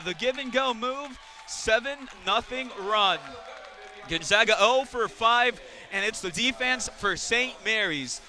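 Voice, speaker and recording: A man's voice: very high-pitched, bright, forceful tone. A man doing live radio play-by-play of basketball, describing the action in clipped phrases that rise with the play. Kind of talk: live sports commentary. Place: indoor basketball arena, courtside. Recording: noisy arena with court sounds behind.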